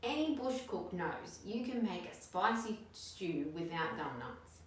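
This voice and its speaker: A woman's voice: fairly low pitch, very animated. Lady doing a drowsy know-it-all koala guy from a picture book, yawny, lots of echo. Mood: sleepy. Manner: yawning, unhurried. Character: sleepy male koala, know-it-all bush cook. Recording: very echoey room, distant mic, noisy.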